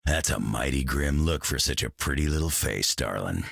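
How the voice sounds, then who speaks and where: An actor playing a gruff, grizzled tough-guy brawler with a gravelly, husky voice in a video game. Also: rumbling low, animated, normal speed, fairly bright tone, dry recording, studio quality, heavily acted. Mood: gruff, wry. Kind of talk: video game character acting.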